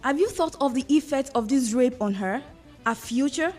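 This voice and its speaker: Actress as a high-voiced, commanding Nigerian madam. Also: female voice, normal speed, darkish tone, faint music behind. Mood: firm. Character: commanding, compassionate well-off madam, quick to take charge. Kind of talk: radio drama acting.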